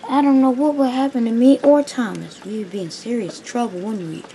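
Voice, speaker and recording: A woman voicing an eager, determined male tank engine in a children's story, loud, emphatic and easily panicked. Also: a little high, fairly even intonation, a little fast, gravelly, a little hiss or hum, low-quality recording. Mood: grateful, worried.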